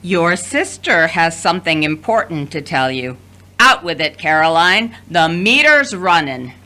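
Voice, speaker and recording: A woman playing a domineering, wry mother of adult children in an audio drama, with deliberate, theatrical delivery. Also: lowish voice, big pitch swings, sharp tone, exaggerated voice. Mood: wry, bossy.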